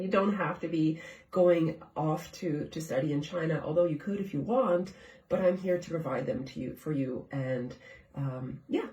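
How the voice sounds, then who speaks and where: A congested, stuffed-up woman hosts a casual live stream from her home kitchen, chatting with viewers and firing questions at them. Her voice sits at a middling pitch and comes across from some distance. The room around her rings with a noticeable echo. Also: dark tone. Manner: conversational, keeps asking viewers questions. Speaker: host of a live nutrition show. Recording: echoey room, far from the mic.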